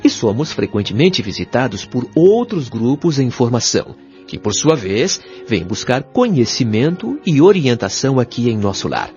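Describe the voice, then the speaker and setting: Guy playing patient brazilian spirit guide in an audio drama, lively melodic delivery, music behind, kinda dull sound. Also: medium pitch. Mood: explanatory. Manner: measured, didactic, carefully enunciated. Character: Lísias, kindly patient male spirit guide and mentor. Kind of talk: audio drama acting, explanatory.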